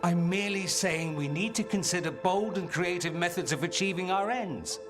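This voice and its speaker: An actor playing a suave, ambitious mage and rebel schemer in a fantasy video game, speaking with wry, theatrical eloquence. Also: male voice, high voice, moderate pace, music in the background, close to an everyday voice. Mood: wry, persuasive. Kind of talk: dramatic video game dialogue acting.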